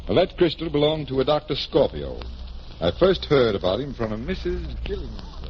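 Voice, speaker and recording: A man plays a suave magician detective in an old radio drama. He is wry and measured, with lively intonation at a middling pitch. The vintage recording sounds muffled and hissy. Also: lightly acted. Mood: politely curious. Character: suave, teasing magician detective, calm leader. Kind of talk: 1940s radio acting.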